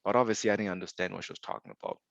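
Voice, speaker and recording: A man's voice: low voice, fairly even intonation, very fast, creaky, no echo, dull audio. A young man from Zimbabwe giving an upbeat webinar presentation, telling stories with asides. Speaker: insurance sales director presenting.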